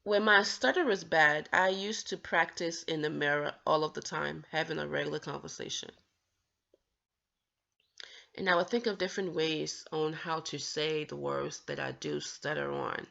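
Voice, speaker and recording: A woman's voice: low-pitched, fairly even intonation, moderate pace, creaky, pushed and bright, highs cut off. A woman vlogger talking earnestly about her own stutter, with occasional stammered restarts as she encourages others. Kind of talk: personal talk to camera.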